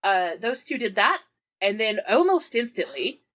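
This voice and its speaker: A woman's voice: mid-range voice, very animated, normal speed, fairly bright tone. A woman playing a tabletop roleplaying game, telling events in an informal, explanatory, conversational way. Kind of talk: conversational in-character storytelling.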